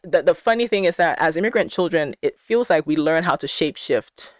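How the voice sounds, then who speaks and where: A Ghanaian-born woman, a guest on an interview show, chatting in a relaxed way and dropping in lots of likes. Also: deep voice, a little fast, no room sound, studio quality. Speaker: podcast guest. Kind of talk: casual conversational interview.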